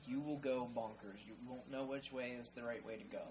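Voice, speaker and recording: A woman's voice: a bass voice, steady tone, a little fast, over a noisy crowd. A woman gamer joking with friends while they play a racing game. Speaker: player. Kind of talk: casual gaming chat.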